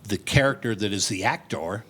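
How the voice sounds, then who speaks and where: A man's voice: on the low side, moderate pace, bright, forceful tone, breathy edge. An older man talking informally and good-humoredly in a television interview, explaining his work as a theater director. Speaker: community theater director and set designer.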